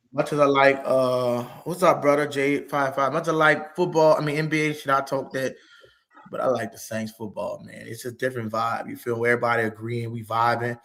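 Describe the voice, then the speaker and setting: A man rambles through a relaxed, profane livestream chat. His talk is loose and slangy, picked up by a laptop mic at home. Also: mid-range voice. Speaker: stream host. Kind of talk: casual late-night livestream chat.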